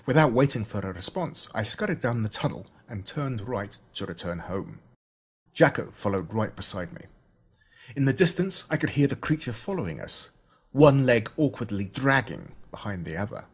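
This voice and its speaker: Husky Australian man narrating with dramatic swings. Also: on the low side, sing-song. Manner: dramatic, suspenseful delivery with slowed emphatic words. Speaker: audiobook narrator. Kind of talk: scripted first-person story narration.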